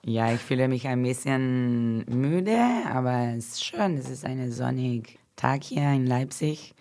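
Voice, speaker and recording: Very low-voiced Brazilian woman, slow German. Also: dark tone. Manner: simple non-native German, slips into English words. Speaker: guest, activist.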